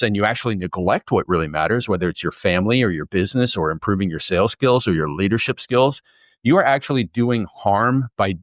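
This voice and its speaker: A man's voice: on the low side, no room sound, studio quality. A man from Canada, a guest author, answering interview questions in a fluent, upbeat, storytelling way. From Canada, near Toronto.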